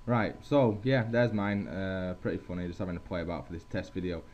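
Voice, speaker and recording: A young man giving a casual software tutorial as host, on a headset mic. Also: low-pitched, steady tone, brisk. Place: at a computer. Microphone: gaming headset. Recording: dull headset mic with faint hiss.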